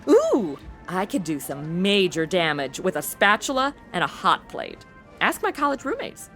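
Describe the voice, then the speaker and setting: A woman's voice: on the low side, moderate pace, loud music behind the voice. A woman playing a brash, irreverent, flirty modern sidekick in a comedy audio drama, flippant and full of wisecracks. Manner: flippant, sassy, deadpan wisecracks.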